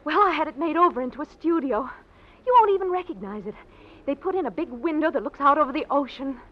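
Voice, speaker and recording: A woman playing a devoted, anxious young wife in an old-time radio drama, with halting, emotional delivery. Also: high-pitched, lively intonation. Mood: eager, loving. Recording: dull, noisy old radio recording.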